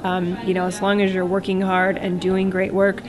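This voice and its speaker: A woman's voice: mid-range voice, completely flat delivery, moderate pace. A woman in cybersecurity reflecting steadily on career lessons in a conference interview. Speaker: cybersecurity professional. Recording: busy conference crowd behind.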